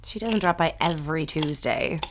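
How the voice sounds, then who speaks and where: A woman's voice: low voice, moderate pace, a little hiss or hum, close to an everyday voice. An actress playing an easygoing, kindly single woman in an audio drama. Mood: fond, easygoing.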